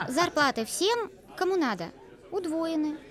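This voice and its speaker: A woman's voice: very high voice, animated, unhurried, heavy background noise. A woman voicing Petya, a naive first-grade boy who narrates and recites verse in a childlike, sing-song manner. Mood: earnest. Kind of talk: storybook narration and poem recital. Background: loud children's music.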